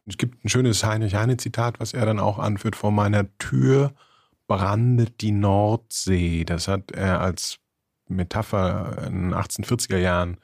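A man co-hosting a book podcast, talking in a thoughtful, halting way with many fillers and restarts. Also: on the low side, steady tone, vocal fry, darkish tone, no room sound, studio quality. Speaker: podcast co-host, book critic. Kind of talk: casual book discussion.

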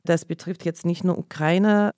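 A woman's voice: fairly low pitch, steady tone, a little slow, a little mellow, no echo. A woman in her forties, born in Leningrad with Russian as her first language, telling her story earnestly as a podcast guest in a studio. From Leningrad, Soviet Union. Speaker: interview guest, journalist. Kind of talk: podcast interview.

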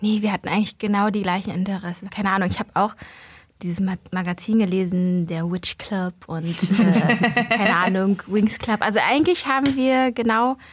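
A woman's voice: mid-range voice, moderate pace, dry recording. Chatty woman telling stories with laughs. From Germany, Berlin. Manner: lively storytelling, laughs often. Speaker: podcast guest, YouTuber. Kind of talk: casual interview chat.

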